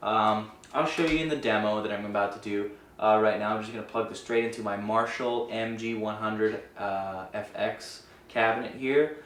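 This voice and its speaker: A man's voice: on the low side, steady tone, normal speed, hardly any pauses, a bit bright. A man hosting a gear review video, explaining settings in an informal, hesitant, casual way. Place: indoor room with guitar amp. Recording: roomy, distant mic with faint noise.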